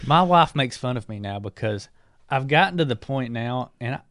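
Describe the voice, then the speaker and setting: A man's voice: medium pitch, expressive, fairly bright tone, dry recording. Man, 40s, co-host, mid-range voice, dry close sound, easygoing and joking. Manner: joking, easygoing, quick to laugh. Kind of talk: casual chat.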